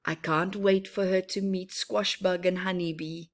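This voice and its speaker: A woman's voice: lowish voice, fairly even intonation, measured pace, natural voice. A woman voicing a proud, cheerful young boy tree from a children's storybook, with earnest, emphatic phrasing. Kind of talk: children's storybook narration in the first person.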